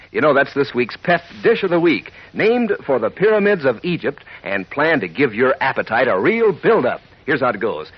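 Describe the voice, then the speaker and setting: A man's voice: medium pitch, husky. A man doing a chummy, folksy 1940s radio advertising read as an enthusiastic announcer. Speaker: radio announcer and sponsor pitchman. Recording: dull lo-fi 1940s radio recording.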